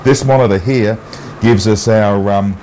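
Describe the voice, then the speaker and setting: An Australian man presenting an unscripted amateur TV walk-through, halting often with ums and uhs. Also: lowish voice, a crowd in the background. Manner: halting, with long um and uh pauses. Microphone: wireless mic pack. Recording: noisy amateur TV transmission audio.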